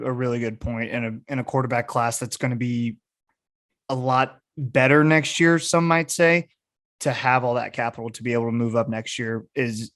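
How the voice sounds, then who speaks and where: A man's voice: mid-range voice, fairly bright tone. A man hosting a sports podcast, giving casual, enthusiastic commentary like a keen fan.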